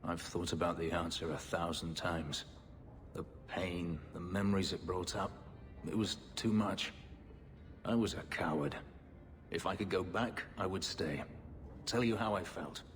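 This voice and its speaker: A man playing a brooding, bitter freed slave warrior in a video game, speaking in a solemn, measured way. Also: sits low, fairly even intonation, a bit bright, faint music behind. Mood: remorseful, tender. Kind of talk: dramatic video game acting. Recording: long hall reverb, background noise.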